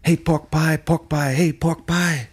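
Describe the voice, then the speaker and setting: A man's voice: a little high, fairly even intonation, slow pace, slightly rough. A man giving a rhythmic, jazz-like literary reading.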